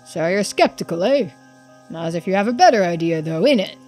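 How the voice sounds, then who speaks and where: A woman voicing a brash, suspicious, defensive islander in a video game, blunt and challenging with rough colloquial speech. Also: lowish voice, expressive, a little fast, warm tone, faint music behind, close to an everyday voice. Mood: defiant.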